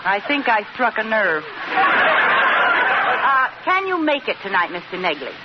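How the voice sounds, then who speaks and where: An actress playing a wisecracking, coaxing housewife in old radio sitcom style. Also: female voice, medium pitch, sing-song, measured pace, a loud crowd. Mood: wry, teasing. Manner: coaxing, wry, patient. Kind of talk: 1940s-50s radio sitcom acting. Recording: dull, noisy old radio recording. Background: studio audience.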